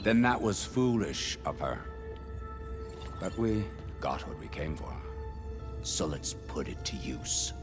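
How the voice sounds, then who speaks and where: A man's voice: a bass voice, big pitch swings, takes it slowly, full character voice. An actor playing a haughty, imperious male villain in a video game, coolly dismissive and dry, with pompous clipped phrases. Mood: cold disdain. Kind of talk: cinematic video game acting. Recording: long hall reverb under music.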